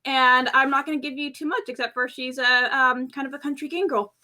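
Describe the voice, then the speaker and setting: A woman player speaks casually with a lisp caused by fake fangs. Her voice is high and bright, suited to informal talk about a tabletop game. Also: fairly even intonation, fairly quick. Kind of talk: casual chat.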